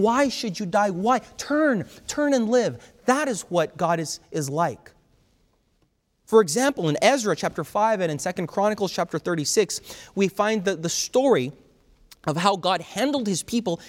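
Pastor, male, from Brazil. Loud, emphatic, fervent delivery, fairly high voice, long hall reverb. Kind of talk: sermon.

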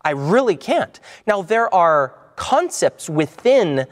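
A man's voice: sits high, big pitch swings, takes it slowly. A man delivers a conversational sermon, speaking in a somewhat raised voice. He restarts his phrases a lot and repeats himself as he explains Scripture. His voice rings with a long reverb, as in a large hall. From United States. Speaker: preacher. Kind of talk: sermon-style Bible teaching.

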